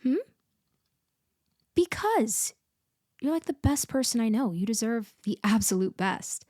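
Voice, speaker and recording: A woman playing a blunt but caring best friend in a comforting roleplay ASMR audio, talking casually with thoughtful pauses. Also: a little high, lively intonation, a little mellow, no echo, clean studio sound. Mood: tender, sincere. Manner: casual, conversational, hesitant pauses. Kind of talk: intimate roleplay ASMR, casual one-sided conversation.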